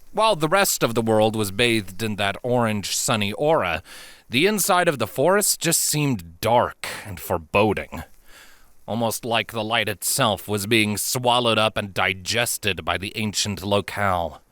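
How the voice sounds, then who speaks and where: A man reading scripted fiction as an audiobook narrator, expressive and measured in a dramatic storytelling style. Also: lowish voice, dry recording.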